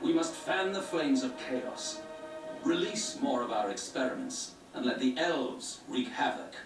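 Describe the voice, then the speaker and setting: A man's voice: medium pitch, animated, measured pace, echoey room, natural voice. An actor playing a ruthless, commanding male grand master villain in a game cutscene. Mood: cold. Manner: cold, commanding. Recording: distant game audio under loud music.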